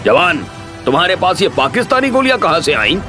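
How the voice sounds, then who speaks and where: A stern army general from an audio story, acted by a man. The voice is fairly high and very animated in pitch, authoritative and commanding. Music runs clearly underneath. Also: fairly quick, heavily acted. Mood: suspicious. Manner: commanding, grave, clipped orders. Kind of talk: Hindi audio story dramatization.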